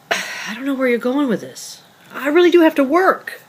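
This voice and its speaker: A woman playing an evasive, defensive girlfriend in a dramatic table read, with a hesitant, halting delivery. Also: sits high, very animated, a little hiss or hum. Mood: guarded, evasive. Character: evasive, defensive girlfriend, secretive would-be writer. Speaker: actor in a script table read.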